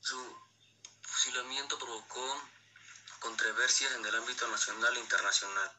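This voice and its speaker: A man co-presenting scripted educational narration, reading aloud in a halting way with stumbles on words. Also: mid-range voice, steady tone, sharp tone, a little hiss or hum, rough recording.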